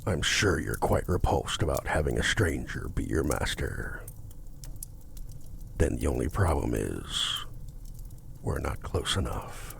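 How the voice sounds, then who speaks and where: A man playing a courtly, possessive, seductive demon in an ASMR roleplay, speaking formally and commandingly in a gravelly, at times breathy voice. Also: extremely low pitch, sing-song, slight background noise, full character voice. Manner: slow, formal, theatrical, commanding.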